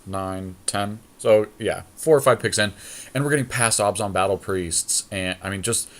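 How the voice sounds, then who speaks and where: A man's voice: on the low side, moderate pace. A man co-hosting a podcast, thinking aloud in casual, slangy commentary as he plays a card game draft. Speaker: podcast co-host.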